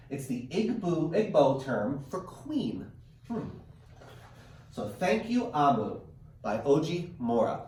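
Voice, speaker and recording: Animated higher-voiced man reading to children. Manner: encouraging, expressive, chatty explanations. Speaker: teacher reading a picture book aloud to children. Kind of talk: virtual read-aloud with asides to children and parents. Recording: roomy, distant mic with faint noise.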